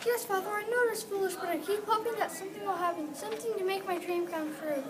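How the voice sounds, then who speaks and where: A woman's voice: extremely high pitch, quick pace. A female reader playing an eager, studious young daughter in a readers theatre play. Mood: wistful. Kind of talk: readers theatre, reading from a script. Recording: distant mic, loud noisy room chatter.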